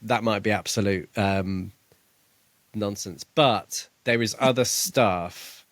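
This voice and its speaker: A podcast co-host, this man has a fairly deep voice, recorded dry and close. He talks casually about books, feeling his way through thoughts in a halting, exploratory manner. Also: a bit bright, dry recording. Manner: tentative, musing, halting delivery. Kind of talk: casual book discussion.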